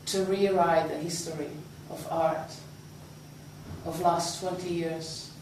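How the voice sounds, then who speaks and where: A woman's voice: fairly low pitch, steady tone, takes it slowly, a little mellow. Woman interviewer in an echoey room. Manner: hesitant, with frequent ums. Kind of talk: conversational interview questions. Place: meeting room, indoors. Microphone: far camera mic. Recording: echoey room, distant mic, background noise.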